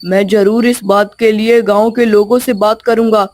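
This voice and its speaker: A woman's voice: medium pitch, fairly even intonation, normal speed, darkish tone, lightly acted. A woman voicing an earnest, brave young village boy in a storybook tale, polite but insistent and pleading.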